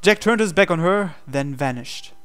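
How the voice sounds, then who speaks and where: A man's voice: fairly high pitch, animated, moderate pace, fairly bright tone. A man reading a visual novel aloud in a dramatic storytelling narration style.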